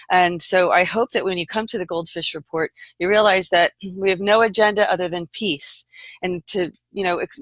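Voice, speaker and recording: A woman hosting an unscripted online panel, speaking in an earnest, halting, meandering way. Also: lowish voice, a bit bright, no echo. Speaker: host of an online panel report.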